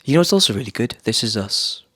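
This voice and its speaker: A man's voice: mid-range voice, sing-song, fast talker, pushed and bright, breathy edge. A man from the UK co-hosting a podcast, upbeat and chatty, stretching his phrases. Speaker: podcast co-host. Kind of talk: casual chat.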